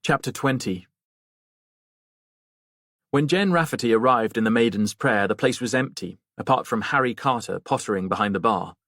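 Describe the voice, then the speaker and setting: A man's voice: medium pitch, moderate pace, pauses often, fairly bright tone, no echo, clean studio sound. A man narrating a mystery novel as a scripted audiobook reading.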